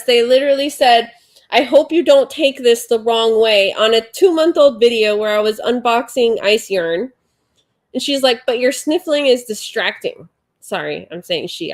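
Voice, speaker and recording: A congested woman in her forties hosting a casual livestream at home, rambling and chatty as she reads viewer comments. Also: a little high. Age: 40s. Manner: chatty, rambling, with frequent fillers. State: congested, sniffling. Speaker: livestream host. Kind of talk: casual live chat, reading viewer comments.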